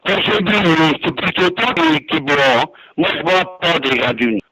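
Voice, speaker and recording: A man's voice: a little high, lively intonation, unhurried, pushed and bright. A man arguing heatedly on a phone call, indignant and threatening, repeating himself. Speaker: prank call target.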